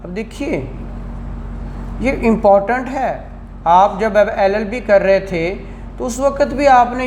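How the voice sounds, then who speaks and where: Slow-talking Pakistani Punjabi man lecturing, echoey. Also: high-pitched. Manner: didactic, repeats questions and check phrases. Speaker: law lecturer. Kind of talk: classroom lecture mixing Urdu and English. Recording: echoey room, faint background noise.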